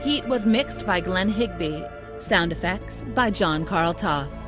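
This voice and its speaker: Lady reading audio drama credits, kinda slow, music under her. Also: on the low side, expressive. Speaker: credits announcer. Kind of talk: scripted announcement for audio drama.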